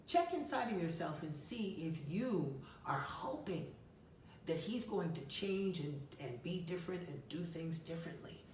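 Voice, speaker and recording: A woman giving advice as a coach and host, speaking in a deliberate, emphatic way to answer a listener. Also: fairly low pitch, darkish tone. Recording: roomy echo, distant mic, faint noise.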